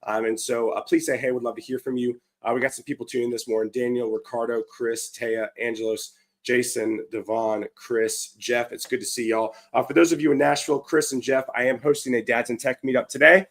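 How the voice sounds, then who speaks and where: A man hosting a live show, hoarse from a cold, upbeat and chatty with lots of fillers and restarts. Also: medium pitch, fairly even intonation, brisk, a bit bright. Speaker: livestream show host. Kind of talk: casual live-stream chat.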